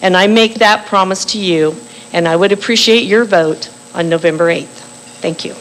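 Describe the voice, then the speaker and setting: Older woman making a firm campaign pitch. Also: a little hiss or hum, poor audio. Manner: firm, deliberate, slows down for emphasis. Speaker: political candidate. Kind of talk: campaign speech at a public forum. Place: bakery cafe forum room.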